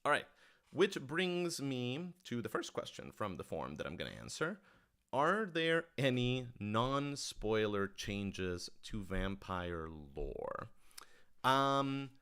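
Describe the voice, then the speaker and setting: A man's voice: mid-range voice, sing-song, slow, fairly bright tone, clean tone. A man hosting a casual livestream Q&A, talking informally and thinking aloud as he corrects himself. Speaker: podcast writer-director hosting a livestream Q&A.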